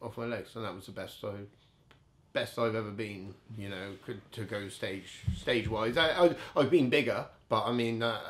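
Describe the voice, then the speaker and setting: A man's voice: on the low side, moderate pace. Candid middle-aged man. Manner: candid, jokey, self-deprecating. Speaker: bodybuilder telling stories as interview guest. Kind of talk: casual anecdotal storytelling.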